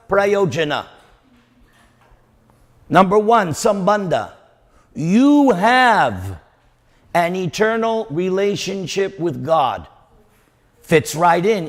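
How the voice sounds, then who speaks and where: A man with a New York accent giving a devotional lecture to a congregation, stressing and repeating key phrases and putting questions to his listeners. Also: sits high. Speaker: preacher. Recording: long reverb of a hall.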